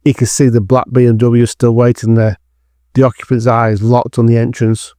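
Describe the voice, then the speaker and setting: A man's voice: mid-range voice, flat delivery, moderate pace, warm tone, no room sound, clean studio sound. A man reading scripted narration for an audio drama as its narrator.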